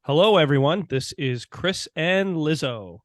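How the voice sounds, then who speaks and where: A man's voice: fairly high pitch, lively intonation, measured pace, no echo. Joking male podcast co-host, dry sound. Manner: joking, teasing, loud bursts. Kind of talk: casual chat.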